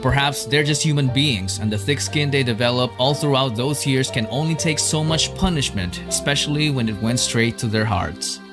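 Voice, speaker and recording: A man narrating a documentary script in a measured, solemn delivery. Soft music plays quietly underneath his voice. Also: mid-range voice.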